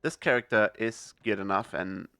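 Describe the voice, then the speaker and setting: Relaxed man in casual gaming commentary. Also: lowish voice, normal speed, no echo, studio quality. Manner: hesitant, many fillers and restarts. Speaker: gaming video host.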